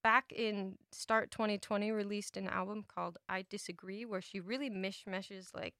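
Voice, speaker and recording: A woman's voice: mid-range voice, steady tone, normal speed, bright, forceful tone, dry recording, studio quality. A young woman chatting casually as an interview guest, informal and peppering her stories with "like". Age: young adult. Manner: informal, filler-heavy with "like", quotes her own thoughts. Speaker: musician guest. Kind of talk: casual chat. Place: radio studio.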